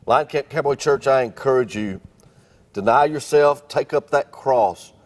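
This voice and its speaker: A man's voice: medium pitch, unhurried. A middle-aged man leading a devotional panel as pastor, earnest and encouraging, speaking into a handheld mic outdoors. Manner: earnest, encouraging, repeats words. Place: outdoor stage by a wooden fence.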